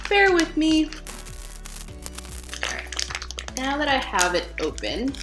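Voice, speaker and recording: A woman in her thirties from the United States, chatting casually as she tries and reviews snacks at home, with animated reactions. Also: high-pitched, slow, over music, low-quality recording. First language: English. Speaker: food vlogger. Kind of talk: casual taste-test vlog. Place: living room at home. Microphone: camera at desk distance.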